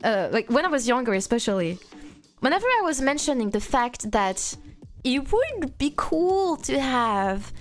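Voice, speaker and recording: A woman's voice: high-pitched, very animated, a little slow. A young woman in her twenties from France with a French accent, chatting playfully on a live stream and answering chat. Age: 20s. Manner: playful, reads chat aloud, frequent laughter.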